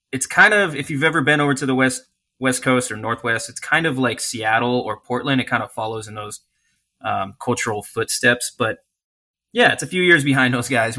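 A man answers interview questions in a casual, rambling manner, hedging as he goes. His voice has a bright, sharp edge. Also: medium pitch. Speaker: food truck co-owner.

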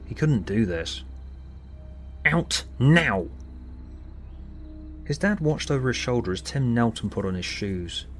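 A man's voice: medium pitch, expressive, normal speed, few pauses, soft background music. A man reading scripted story narration as an audiobook narrator. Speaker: author and narrator.

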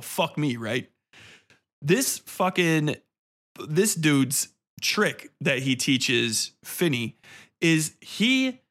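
A man's voice: on the high side, a bit bright. A man hosting a podcast, delivering a casual, sweary review rant in an exasperated, sarcastic and emphatic way. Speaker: podcast host reviewing horror films and books. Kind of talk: casual ranting review monologue, heavy swearing.

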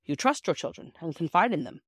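A woman reading the part of a kindly, courteous old gentleman in an audiobook. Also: lowish voice, very animated, fairly quick, slightly rough, no echo, studio quality, heavily acted.

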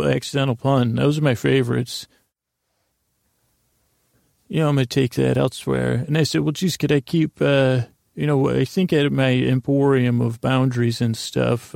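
Creaky man rambling softly through a bedtime monologue. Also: medium pitch, no room sound, studio quality. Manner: meandering, halting, stammering, self-interrupting; gently humorous. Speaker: podcast host.

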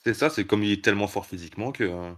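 Fast-talking low-voiced male football guest. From Dutch. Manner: fast, enthusiastic, rambling with restarts. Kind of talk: casual chat.

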